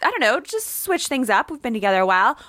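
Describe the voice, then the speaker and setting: A woman's voice: a little high, big pitch swings, fast, a bit bright, dry recording. A young woman chatting casually and candidly as a podcast guest, telling personal stories and quoting herself and others as she goes. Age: young adult. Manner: confessional, self-quoting storyteller.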